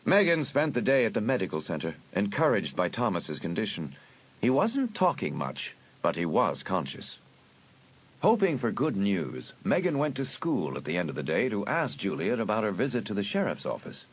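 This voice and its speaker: A man narrating a dramatised audiobook in an expressive storytelling manner. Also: on the low side, moderate pace, breathy edge.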